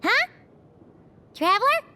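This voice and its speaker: A woman voicing a tiny, childlike fairy companion in a video game, with a squeaky, bubbly, excitable delivery. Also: slow, noisy background, full character voice. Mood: puzzled, curious. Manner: bubbly, exclaiming, speaks of herself in third person.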